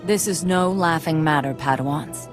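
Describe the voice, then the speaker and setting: An actress playing a composed, grave Jedi master in a video game, measured and formal as she advises apprentices. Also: low-pitched, music in the background, natural voice.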